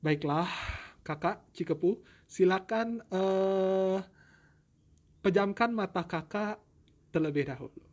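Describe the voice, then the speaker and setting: A man's voice: sits high, slow, long pauses. A man voicing a young dragon princess in a story, grateful, tearful and coy, with a stammering, gushing manner. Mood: gentle.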